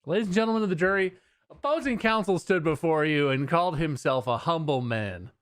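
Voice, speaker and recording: Man playing a bombastic, animated prosecutor. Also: on the high side, a little fast, no echo, studio quality, voice pushed up. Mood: accusing. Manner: dramatic, insistent rhetorical delivery. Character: bombastic, accusing male prosecutor addressing a jury. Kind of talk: mock courtroom argument.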